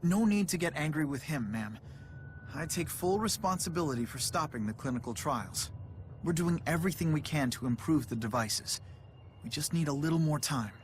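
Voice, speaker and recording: Actor as gentle, earnest young male doctor. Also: fairly high pitch, expressive, moderate pace, a little hiss or hum, close to an everyday voice. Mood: apologetic, earnest. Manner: courteous, gentle, conciliatory. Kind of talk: video game dialogue acting.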